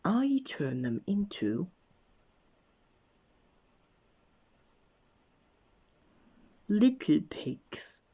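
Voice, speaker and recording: A man voicing a stern storybook wizard who scolds with emphatic, drawn-out threats. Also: sits high, big pitch swings, vocal fry, dark tone, husky, pitched above the performer's own voice, heavily acted. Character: stern magical wizard scolding bad manners.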